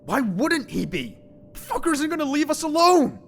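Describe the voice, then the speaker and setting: A young man playing a brash, foul-mouthed high school boy with a grudge in an audio drama, scornful and emotive. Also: big pitch swings, normal speed, creaky, a bit bright, soft background music, heavily acted. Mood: panicked, angry.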